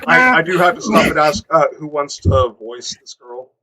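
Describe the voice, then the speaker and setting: A young man reading a creepy story aloud for a group podcast, wry and joking between sentences. His pitch sits a bit high and swings lively up and down. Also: moderate pace. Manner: wry, breaks off to joke.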